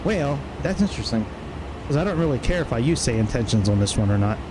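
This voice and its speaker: A man's voice: medium pitch, big pitch swings, fast talker. Muttering, profane man over background hum. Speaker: flight sim streamer. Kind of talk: casual livestream commentary while flying a simulator. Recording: clear background noise, vehicle-like hum.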